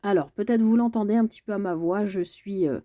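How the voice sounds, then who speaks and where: A woman's voice: medium pitch, mellow, no room sound, studio quality. A woman with a cold, talking to her viewers in a casual, hesitant personal video message as a card reader. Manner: hesitant, halting delivery with frequent pauses. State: congested from a cold. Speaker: tarot card reader and channel host.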